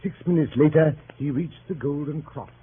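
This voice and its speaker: An actor playing a grandiloquent storyteller, narrating with pompous, mock-solemn formality in 1930s radio drama style. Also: male voice, fairly high pitch, moderate pace, loud music behind the voice, natural voice. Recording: hissy narrow-band 1938 radio recording.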